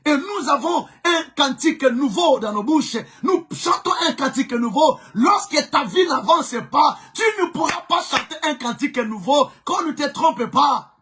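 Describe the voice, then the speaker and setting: A man preaching a sermon with a Congolese French accent, whose first language is Chokwe. He preaches fervently, often shouting and repeating phrases for emphasis. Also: very high-pitched, expressive, measured pace. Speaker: pastor. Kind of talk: live-streamed preaching sermon.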